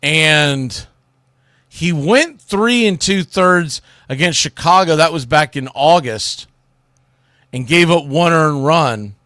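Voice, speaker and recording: A man's voice: a little high, lively intonation, slow, bright, forceful tone, no echo, studio quality. A man hosting a solo sports talk show, giving commentary in an informal, opinionated way.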